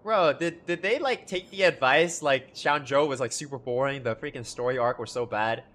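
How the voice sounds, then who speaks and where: A man's voice: medium pitch, normal speed, a bit bright. A young man reacting live to a game as a streamer, chatting casually in gushing, slangy talk. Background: faint game music.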